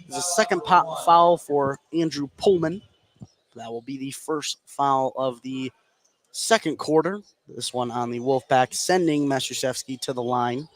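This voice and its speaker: A man's voice: mid-range voice, a little slow, crowd noise. A man doing live radio play-by-play of a basketball game, working as the main announcer. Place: indoor basketball gym. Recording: noisy gym ambience behind.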